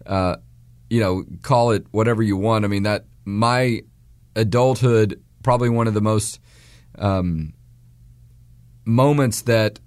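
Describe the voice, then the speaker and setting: A man in his forties from Texas, hosting a radio talk show in a hoarse voice, rambling with restarts and fillers. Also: lowish voice, a little mellow, no echo. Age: 40s.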